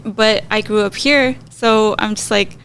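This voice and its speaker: A woman's voice: mid-range voice, a little slow, creaky, fairly bright tone, a little hiss or hum. A woman from El Salvador co-hosting a podcast, chatting candidly with lots of "like". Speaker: podcast co-host. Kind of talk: casual podcast conversation.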